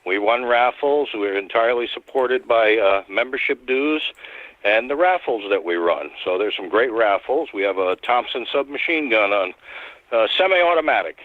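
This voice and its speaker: A man calling in as a radio guest who founded an advocacy group, speaking in a steady, persuasive, plain-spoken way. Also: medium pitch, normal speed, no room sound. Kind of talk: casual radio talk. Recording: tinny phone line.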